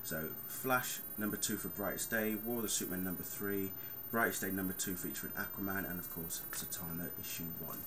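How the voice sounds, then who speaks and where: A man's voice: low voice, fairly quick, hardly any pauses. A man, chatting casually and rambling on a vlog with plenty of fillers. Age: 30s. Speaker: vlogger. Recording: clear background noise.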